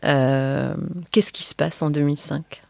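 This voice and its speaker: A woman's voice: low voice, little pitch movement, measured pace, creaky, a little mellow, no echo. A woman hosting a casual podcast interview, curious and teasing as she asks her guest about her love life. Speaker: podcast host.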